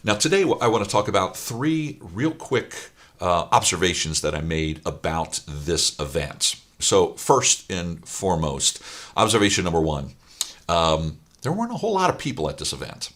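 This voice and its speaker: A middle-aged man, hoarse and raspy from a weekend of speaking, talking straight to camera as a business coach with emphatic, filler-heavy delivery. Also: low-pitched, sing-song, normal speed, a bit bright. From Ohio, USA. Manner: emphatic, repeats points, many fillers. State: hoarse after long speaking. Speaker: auto repair shop business coach. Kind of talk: direct-to-camera talk.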